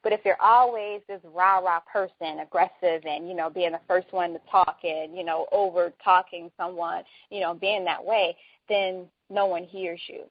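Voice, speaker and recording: Soft-spoken lady hosting a chat, low-ish voice, kinda muffled dry recording. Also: steady tone, normal speed, no room sound. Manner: soft-spoken, mild-mannered. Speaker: host. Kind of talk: casual conversation.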